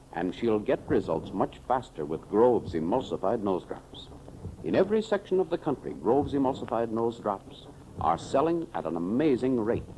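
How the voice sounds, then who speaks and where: A man announcing and pitching in emphatic, declamatory scripted style, on a hissy 1930s radio recording. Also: warm tone, low-quality recording.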